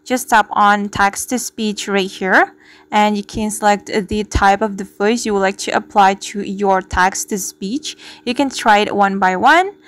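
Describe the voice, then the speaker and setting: A woman presenting a step-by-step software tutorial as the host. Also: mid-range voice, unhurried. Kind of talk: spoken screen-recording tutorial.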